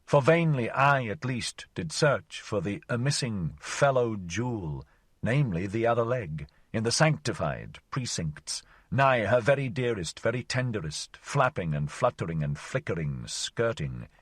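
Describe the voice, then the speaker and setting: A man's voice: lowish voice, big pitch swings, measured pace, breathy edge, no echo, studio quality, full character voice. A man reading as a theatrical wandering shadow. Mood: mock-tender. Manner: declamatory, mock-solemn recital with sudden exclamations. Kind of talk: audiobook reading of archaic verse.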